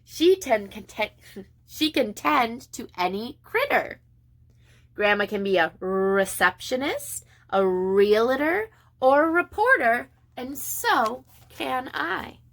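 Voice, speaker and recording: A woman preschool teacher reading a picture book aloud to young children in an expressive, sing-song way with deliberate pauses. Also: on the high side.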